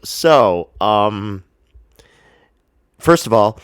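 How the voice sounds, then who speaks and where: A man's voice: lowish voice, lively intonation, extremely slow, clean tone, no echo. A man with a congested, stuffy nose chats casually as a vlog host, rambling and hesitant in a self-deprecating way, close to the mic. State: congested, sniffling. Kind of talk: casual personal chat to camera. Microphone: close to the face.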